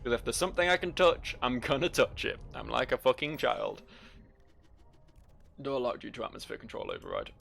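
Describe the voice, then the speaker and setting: A man's voice: mid-range voice, wildly swooping pitch, a little fast, vocal fry, a bit bright. A man hosting a game playthrough with casual, wry, sweary commentary. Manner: wry, sweary, reads game text aloud. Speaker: gaming video host. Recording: faint noise, game ambience.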